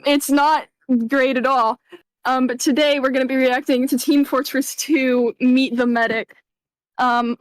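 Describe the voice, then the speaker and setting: High-pitched woman riffing as a fake doctor. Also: dry recording, rough recording. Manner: mock-serious doctor patter with giggles. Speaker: host voicing over a doctor clip. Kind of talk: comedic voice-over riff.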